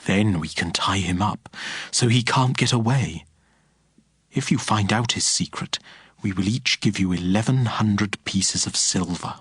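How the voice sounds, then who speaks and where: An actor playing a conspiring ancient ruler in a Bible audio drama, with a husky voice. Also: male voice, lowish voice, measured pace, a bit bright, dry recording, clean studio sound. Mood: scheming. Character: conspiring male ancient ruler offering a bribe.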